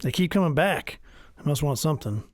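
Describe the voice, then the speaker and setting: An actor playing an easygoing, wry cowboy sidekick in an audio drama, with a casual way of talking. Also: male voice, fairly high pitch, animated, brisk, natural voice. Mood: wary.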